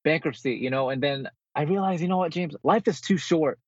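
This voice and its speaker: A man's voice: on the high side, fairly quick, breathy edge, no echo, highs cut off. A man from New Jersey, born in the Philippines, speaking as a motivational guest in a conversational interview over a remote call. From born in the Philippines, grew up in New Jersey.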